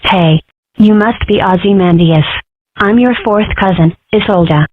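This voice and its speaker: A woman's voice: mid-range voice, a little slow, no room sound. A woman reading parts in a fan comic dub in a matter-of-fact, wry way. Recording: dry phone-like audio.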